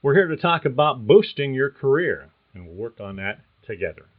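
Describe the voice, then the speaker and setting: A man's voice: mid-range voice, very animated. Older man with a tinny phone-line sound. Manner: measured, earnest, reads like prepared narration. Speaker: podcast host and career coach. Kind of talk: scripted podcast monologue.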